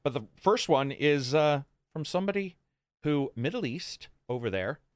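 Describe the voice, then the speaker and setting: A man's voice: fairly high pitch, lively intonation, moderate pace, dry recording. Casual male podcast host, close dry sound. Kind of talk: casual unscripted chat.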